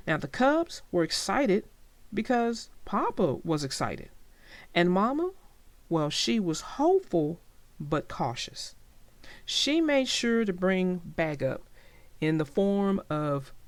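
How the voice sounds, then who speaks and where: Slow, animated woman storyteller. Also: medium pitch, dry recording. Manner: deliberate, dramatic phrasing, addresses listeners directly. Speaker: read-aloud storyteller. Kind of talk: expressive children's story narration.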